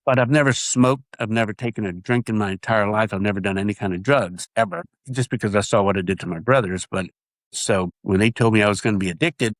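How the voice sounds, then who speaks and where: Guy with a nasal voice, kinda low, tells his story earnest and halting, dry close sound. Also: no room sound, rough recording. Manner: earnest, halting with repeated restarts. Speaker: guest sharing his testimony. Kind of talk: casual interview talk.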